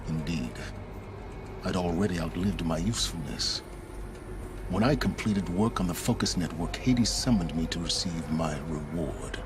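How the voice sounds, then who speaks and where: An actor playing a cold, arrogant male scholar and fugitive schemer in a video game, speaking in a measured, aloof and precise way. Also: sits low, noisy background, natural voice. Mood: measured recounting. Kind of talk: video game cutscene acting. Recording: music under the voice.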